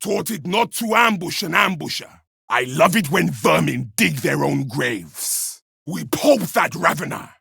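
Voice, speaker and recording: A zealous armored warrior from a combat video game, spitting contempt at fallen foes. The voice is very rough and husky, pitched fairly high, with big swings between snarl and battle cry. The delivery is forceful and declamatory. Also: male voice, lively intonation, measured pace, no echo, clean studio sound, exaggerated voice. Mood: grim disdain. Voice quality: raspy, gravelly. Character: battle-hardened male Space Marine, contemptuous, zealous, wrathful warrior.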